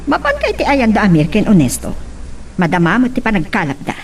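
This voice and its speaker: A woman's voice: mid-range voice, huge pitch swings, quick pace, distant mic, slight background noise. A woman playing a questioning, nagging aunt in a radio-style drama, with an Ilocano accent and a chiding manner.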